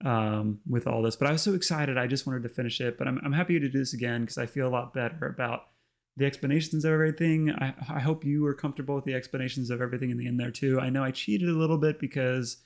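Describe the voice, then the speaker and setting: A man hosting a casual tutorial, chatty and full of ums, his voice slightly hoarse. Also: mid-range voice. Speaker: host. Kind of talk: casual screen-recorded tutorial narration.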